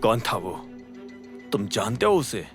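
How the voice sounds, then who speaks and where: A man voicing a loyal older associate in an audio drama, serious, measured and confiding. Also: fairly low pitch, very animated, fairly bright tone, pitched below the performer's own voice, full character voice. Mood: puzzled. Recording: music under the voice.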